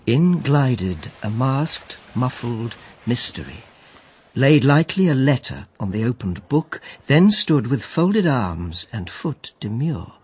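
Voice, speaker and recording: A man's voice: medium pitch, big pitch swings, takes it slowly, darkish tone, slightly rough, heavily acted. An actor playing a man recounting a mysterious visit in theatrical verse for a radio drama. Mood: mysterious, measured. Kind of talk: slow dramatic verse recitation.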